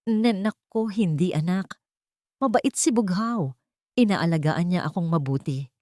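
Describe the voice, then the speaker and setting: A woman acts out an elderly mother in a story, meek and anxious. She speaks hesitantly in a lowish voice, forcing cheer to hide her suffering. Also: animated, a little slow, darkish tone, dry recording, studio quality. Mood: nervous reassurance.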